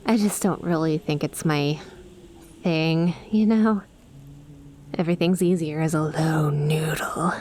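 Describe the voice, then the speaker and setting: A woman's voice: sits low, measured pace, darkish tone, a little hiss or hum. A woman playing an affectionate young snake-girl best friend in an ASMR roleplay, teasing and chummy as she talks to the listener.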